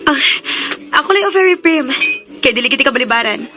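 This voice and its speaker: High-voiced, sweet young daughter. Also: female voice, lively intonation, quick pace, over music, a crowd in the background. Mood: chatty, curious. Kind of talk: radio drama acting. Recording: noisy radio drama recording.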